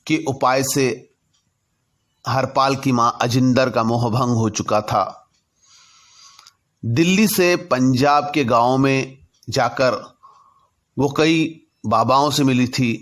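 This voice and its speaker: A man narrating a personal story in a deliberate way, in short halting phrases. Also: medium pitch, fairly bright tone. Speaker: narrator telling his own anecdotes.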